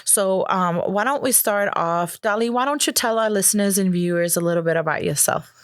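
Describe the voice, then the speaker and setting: A woman's voice: mid-range voice, fairly quick, dry recording, studio quality. A woman from the former Yugoslavia hosting a podcast interview, eager and hesitant, with frequent ums. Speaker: podcast host. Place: decorated podcast set.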